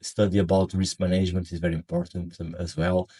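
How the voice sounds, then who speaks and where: A man with a Portuguese accent talks straight to his viewers, stopping often to search for words. His voice sits very low and stays flat and even in pitch throughout. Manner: halting, many fillers and restarts. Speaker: forex trading educator. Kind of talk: casual advice monologue.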